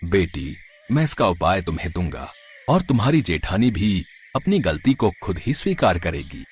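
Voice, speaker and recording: A man's voice: low-pitched, sing-song, moderate pace, slightly rough, full character voice. A man voicing a kindly, wise old holy man in a moral story, solemn and full of blessings.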